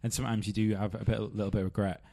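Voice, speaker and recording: A man's voice: lowish voice, little pitch movement, rapid-fire, creaky, mellow. A man hosting a podcast, rambling through anecdotes and laughing mid-sentence. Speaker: podcast host. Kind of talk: casual chat.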